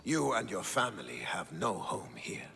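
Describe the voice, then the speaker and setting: A man's voice: on the low side, very animated, measured pace, vocal fry, pushed and bright, heavily acted. An actor playing a scornful, commanding Spartan warrior king with a hot temper in a video game. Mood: angry, hostile. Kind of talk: dramatic video game acting. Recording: reverberant hall with ambient noise.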